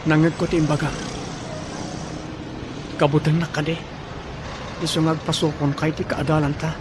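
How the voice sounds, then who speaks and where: A man's voice: fairly high pitch, flat delivery, moderate pace, background noise, close to an everyday voice. A man acting a watchful, scheming man who urges and explains in a radio drama. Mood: chiding. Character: scheming, watchful adult man giving instructions.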